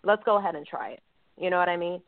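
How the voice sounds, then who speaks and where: A woman evangelist hosting a talk show interview, speaking softly and filling her sentences with "you know". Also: lowish voice, quick pace, vocal fry, no room sound. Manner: soft-spoken, many "you know" fillers. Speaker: host and evangelist. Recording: dry, phone-like line.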